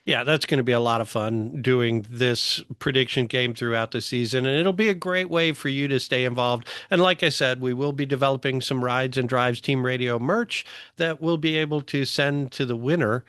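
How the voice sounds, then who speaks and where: A man's voice: mid-range voice, moderate pace, few pauses, fairly bright tone, clear, resonant tone, no room sound. Casual male podcast host, dry close sound.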